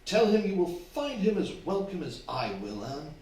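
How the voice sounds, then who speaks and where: A man's voice: high voice, very animated, normal speed, a little mellow. Man acting a genteel older husband, echoey and distant. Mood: genial. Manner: self-satisfied, genteel, formal. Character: wealthy, genteel, possessive husband in his fifties, a grocer. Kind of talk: staged old-fashioned radio drama acting. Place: theatre hall, distant microphone. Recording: echoey stage recording from afar, faint noise.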